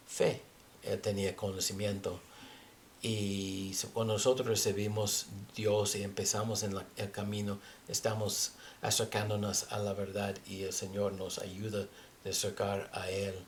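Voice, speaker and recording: A man teaches from scripture in a fairly low voice, taking his time over every phrase. He is a native English speaker with an audible foreign accent. His delivery is halting as he moves between reading verses and explaining them. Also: a little mellow. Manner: halting, searching for words. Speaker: religious teacher.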